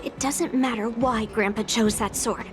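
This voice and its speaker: A woman's voice: fairly high pitch, fairly even intonation, moderate pace, natural voice. A woman voicing a blunt young swordswoman. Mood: determined. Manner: determined, blunt. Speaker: game character. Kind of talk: dubbed game cutscene dialogue. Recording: game audio over loud music.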